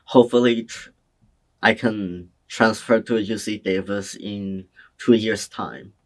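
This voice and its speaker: A young man from China answering oral history interview questions in a slow, hesitant, soft-spoken way. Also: fairly low pitch. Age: young adult. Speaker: interviewee, community college student.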